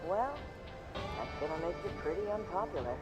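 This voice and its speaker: A man's voice: high-pitched, animated, moderate pace. An actor playing a gossipy male bartender in a game, talking casually and confidingly. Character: chatty, gossipy male bartender in a rough underhive. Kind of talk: cutscene voice acting, casual barroom gossip. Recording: dull sound under clear music.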